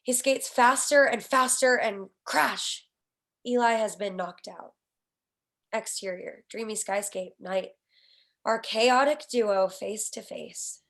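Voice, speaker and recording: A woman's voice: a little high, takes it slowly, creaky, fairly bright tone. A woman reading the scene headings and action lines of a screenplay as the narrator at a table read. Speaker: stage directions narrator.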